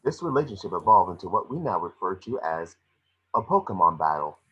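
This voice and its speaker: A man's voice: deep voice, sing-song, brisk, slightly rough, highs cut off, heavily acted. A man reading the part of a grand corporate documentary narrator in an amateur table read. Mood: grand, informative. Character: corporate narrator of an informational city welcome video.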